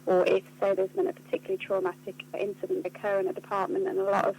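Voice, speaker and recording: A woman answers interview questions in an earnest, articulate way. Her pitch stays fairly flat as she explains. There is a faint hum and noise on the line. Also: quick pace, gravelly, lo-fi sound. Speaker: charity communications officer being interviewed. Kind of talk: spoken radio interview answers.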